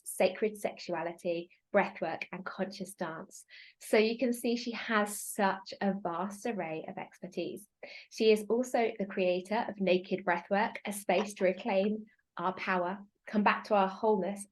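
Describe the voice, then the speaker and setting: A woman's voice: medium pitch, low-quality recording. Upbeat woman host, gushing introductions, mid-range voice. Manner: effusive, upbeat hosting. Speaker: summit host. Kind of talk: scripted guest introduction and interview.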